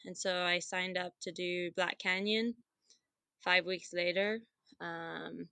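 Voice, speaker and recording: Woman in her twenties, from Zimbabwe, easygoing and giggly, bright low voice. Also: level tone, slow pace, vocal fry, smooth, no room sound. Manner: laughs often, easygoing. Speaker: guest ultrarunner. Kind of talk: casual interview chat.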